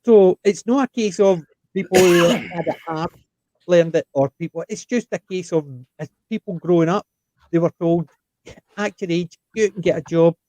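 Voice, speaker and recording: A man's voice: sits high, moderate pace, a little mellow, a little hiss or hum, rough recording. A man, chatting and telling stories with quoted asides in a casual panel conversation.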